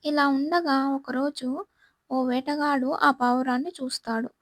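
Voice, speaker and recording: A woman's voice: high-pitched, fairly even intonation, unhurried, dry recording, studio quality. A woman storyteller reading a scripted children's moral tale as narrator.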